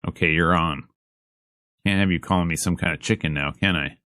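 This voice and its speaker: Man reading as Shinichi, a low-voiced flustered young guy. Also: a little fast, pushed and bright, no echo. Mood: determined. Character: Shinichi, young man, flustered, wry visual-novel protagonist. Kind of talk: dramatic reading of visual novel narration and dialogue.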